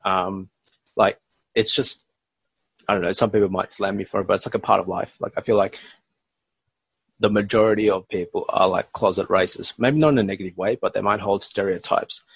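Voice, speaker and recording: Podcast co-host: a young man, gravelly and reflective. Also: on the low side, fairly even intonation, a little fast, no room sound. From Bangladesh, raised in Australia. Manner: reflective, lots of "like" and "kind of". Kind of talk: casual chat.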